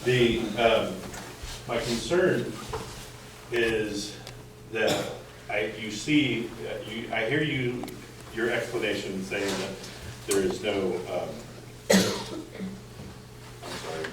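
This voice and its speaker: An American man speaking up as a resident at a community meeting, halting and repeating words as he presses his skeptical point. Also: on the low side. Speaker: resident questioning a school board. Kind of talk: impromptu public comment at a community meeting. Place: indoor community meeting room, recorded from afar. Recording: echoey room, distant mic, faint noise.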